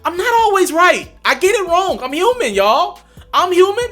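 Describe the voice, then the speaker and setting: A man's voice: extremely high pitch, sing-song, normal speed, a bit bright. A young man hosting a commentary vlog, talking straight to his viewers with emphatic, repeated points. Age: young adult. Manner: emphatic, addresses viewers directly, repeats for stress. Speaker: commentary channel host. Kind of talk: direct-to-camera commentary.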